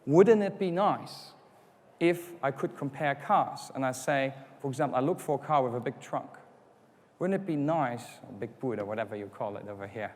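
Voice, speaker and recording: A man's voice: mid-range voice, expressive, moderate pace, darkish tone. A man whose first language is not English, giving a conference keynote, explaining step by step and asking rhetorical questions. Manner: explanatory, rhetorical questions, acts out customer quotes. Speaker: conference speaker. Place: conference hall. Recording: hall reverb.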